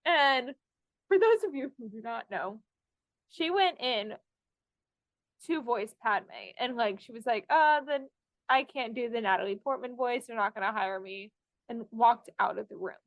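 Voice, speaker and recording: A woman's voice: fairly high pitch, a little slow, no room sound. A woman hosting a podcast, telling anecdotes in a chatty, enthusiastic fan style. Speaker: podcast host. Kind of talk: casual fan chat and anecdotes.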